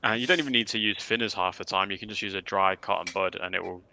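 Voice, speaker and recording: A man with a fairly low, strikingly bright and forceful voice chats casually on a hobby panel. He explains things in plain conversational terms. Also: fast, vocal fry, a little hiss or hum, rough recording.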